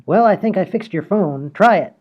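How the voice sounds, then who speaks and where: A man's voice: sits high, animated, soft background music. Comedy podcast handyman, male performer: former cop, clueless, folksy, long-winded, on a slightly muffled lo-fi recording. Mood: casual, boastful. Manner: rambling, folksy, unhurried storytelling. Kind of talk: comedy radio play acting. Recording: dull lo-fi podcast audio.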